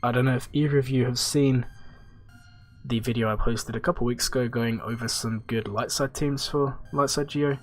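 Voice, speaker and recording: A man's voice: medium pitch, normal speed, slight background noise. Nasal, stuffy-nosed male streamer. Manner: rambling, relaxed, answers chat questions. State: stuffy, blocked nose. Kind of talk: casual gaming livestream chat.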